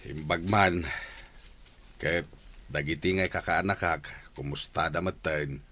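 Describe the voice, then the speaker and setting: A man playing a weary, measured older brother and disappointed father in a radio drama. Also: a bass voice, steady tone, sharp tone, full character voice. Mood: warm concern.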